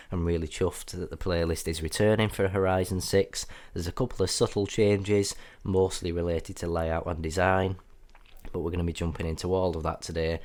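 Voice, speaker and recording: A man with a slightly croaky voice from a cold, giving a chatty, upbeat voiceover commentary over gameplay as a gaming channel host. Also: sits low, a little mellow. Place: voiceover recorded apart from the gameplay.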